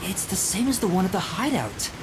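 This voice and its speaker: A woman's voice: medium pitch, very animated, brisk, noisy background, lightly acted. A woman playing an earnest, thoughtful, hesitant boy detective in a video game. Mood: pondering. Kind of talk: English video game voice acting.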